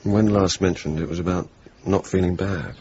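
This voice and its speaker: A man's voice: low-pitched, fairly even intonation, moderate pace, darkish tone, natural voice. A man playing a wry, bantering young RAF bomber officer in a radio drama, with dry, ironic, clipped understatement. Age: young adult. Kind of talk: radio drama dialogue and narration. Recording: dull, hissy 1980s radio broadcast.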